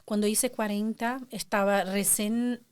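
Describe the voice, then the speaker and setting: Middle-aged lady hosting a chat show, talks casually, keeps hunting for the right word, mid pitch. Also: steady tone, unhurried, clean tone. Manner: searching for words, warm and chatty with frequent restarts. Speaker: podcast host.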